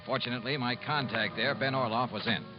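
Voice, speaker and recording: Actor playing a brisk hard-boiled radio detective. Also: male voice, mid-range voice, natural voice. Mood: brisk, businesslike. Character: wry, hard-boiled male insurance investigator. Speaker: lead actor and narrator. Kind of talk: old-time radio detective drama acting. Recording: muffled old radio recording, music behind.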